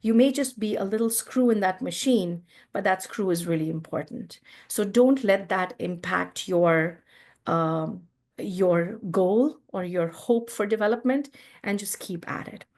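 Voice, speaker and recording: A woman's voice: medium pitch, measured pace, a little mellow. A woman on a career panel giving encouraging, informal advice.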